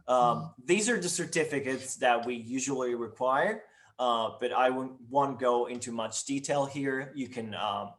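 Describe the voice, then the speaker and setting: Man giving a measured admissions webinar talk. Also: medium pitch, fairly bright tone. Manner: measured, with frequent um and uh fillers. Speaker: university admissions presenter. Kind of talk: informational webinar presentation. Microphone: video call.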